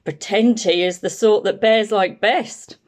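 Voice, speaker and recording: A woman's voice: mid-range voice, measured pace, natural voice. A woman voicing a big, friendly, polite brown bear with hearty, dramatic feeling in a children's picture book. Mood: polite, curious. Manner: courteous, hearty, dramatically emotional.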